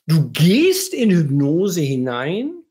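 A man with a scratchy, husky voice, slightly under the weather, giving an emphatic explanatory livestream monologue as a hypnotherapist, stretching key phrases and posing rhetorical questions. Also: on the high side, wildly swooping pitch, slow, mellow.

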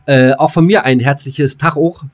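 A man's voice: mid-range voice, fairly even intonation, moderate pace. A man co-hosting a casual comedy podcast, jokey and mock-grandiose, often stopping to search for words. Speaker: podcast co-host.

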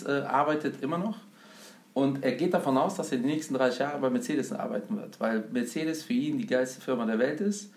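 A man's voice: mid-range voice, darkish tone. A man from Germany explaining investing in a casual conversation, with many fillers and examples. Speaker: investment speaker, guest. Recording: roomy echo.

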